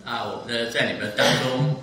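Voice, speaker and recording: A man in his seventies from Taiwan, giving a church testimony in short measured sentences. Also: medium pitch, noisy background. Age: 70s. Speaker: guest speaker giving a testimony. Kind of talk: church testimony in short phrases, paused for interpreting. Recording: echoey church hall, distant mic.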